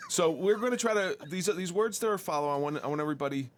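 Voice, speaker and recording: A man's voice: high voice, expressive, quick pace. A man with a New Jersey accent hosting a podcast, casual and profane, laughing as he wraps up the show. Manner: swearing, laughing, joking outro. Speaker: podcast host.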